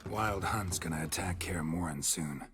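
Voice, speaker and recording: Guy doing a world-weary monster hunter from a video game, super deep hushed gravelly voice, deadpan, music playing behind. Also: moderate pace, close to an everyday voice. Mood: reluctant, grave. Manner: terse, deadpan, clipped. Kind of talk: understated video game dialogue. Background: background music.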